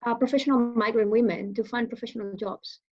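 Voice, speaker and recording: A woman with a Venezuelan Spanish accent talks in English, her second language, pausing often and restarting her sentences. Her voice sits a little high. The recording sounds dull and muffled. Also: steady tone. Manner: hesitant, many fillers and restarts. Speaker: guest speaker. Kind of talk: casual interview answers.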